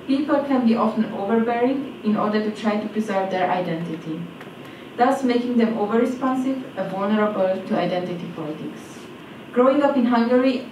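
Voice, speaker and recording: Young woman, prepared speech, podium mic, roomy hall, somewhat distant, noisy background. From Transylvania, Romania. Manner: reads from cards, phrases fall in pitch at their ends. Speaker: speaker at a presentation event. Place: large auditorium stage. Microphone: stand mic at a podium. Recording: echoey hall, voice from off-mic, audible noise.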